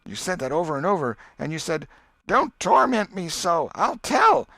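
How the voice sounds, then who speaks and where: Man voicing an insistent high-pitched tattling boy. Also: big pitch swings, moderate pace, vocal fry, pushed and bright, no echo, exaggerated voice. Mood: accusing, urgent. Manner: insistent, accusing, exclaiming. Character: tattling boy mimicking his brother's anguished sleep-talk.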